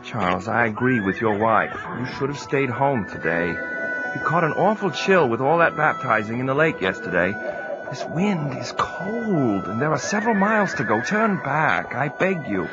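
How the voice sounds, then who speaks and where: Man as an anxious friend, lively, pleading voice; lo-fi with music behind. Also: mid-range voice, moderate pace, natural voice. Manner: urgent and imploring. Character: worried male friend, pleading and caring. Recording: dull lo-fi audio with clear music.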